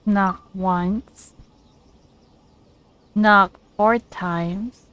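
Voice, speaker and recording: A woman's voice: mid-range voice, fairly even intonation, drawn-out words, fairly bright tone, clear, resonant tone. A woman giving casual gameplay commentary, musing aloud to herself as she plays. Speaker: game streamer.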